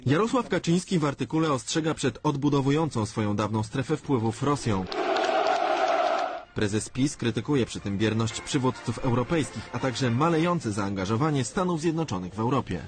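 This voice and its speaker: Man, news reporter, scripted report narration, medium pitch. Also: lively intonation, normal speed.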